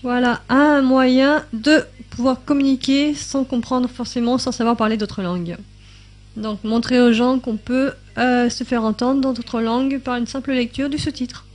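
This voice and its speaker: A woman's voice: fairly high pitch, fairly even intonation, a little slow, darkish tone. A woman giving a casual tutorial while thinking aloud at her computer, with many fillers, now and then sounding out translated subtitles in other languages. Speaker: YouTube tutorial maker. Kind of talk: casual screen-recording tutorial.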